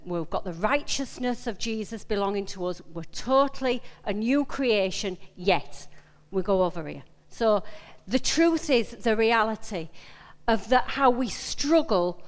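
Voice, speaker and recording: A woman with a Yorkshire accent giving an informal, personal sermon, emphatic and conversational, repeating phrases and putting questions to her listeners. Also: a little high. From Yorkshire, England. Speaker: preacher. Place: large indoor meeting room. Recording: echoey hall with long reverb.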